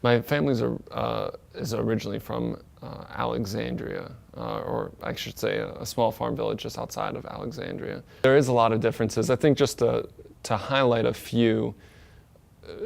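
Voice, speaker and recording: Gravelly-voiced man from Egypt. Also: medium pitch, darkish tone. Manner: thoughtful, measured, with fillers and restarts. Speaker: community organizer. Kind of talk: interview monologue.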